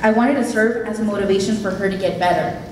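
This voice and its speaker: A young woman whose first language is Spanish delivers an earnest, heartfelt keynote from her notes. Her voice sits at an ordinary pitch and carries through a very echoey hall, picked up from a distance. A steady background hiss runs under it. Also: quick pace. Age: late teens. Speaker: keynote speaker. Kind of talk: prepared speech. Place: event hall.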